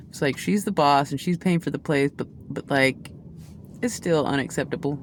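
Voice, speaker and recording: A woman's voice: low voice, normal speed, slight background noise. A woman giving a casual tarot reading, talking in a rambling, chatty way with many restarts and asides. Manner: says she has a loud voice. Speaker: tarot reader.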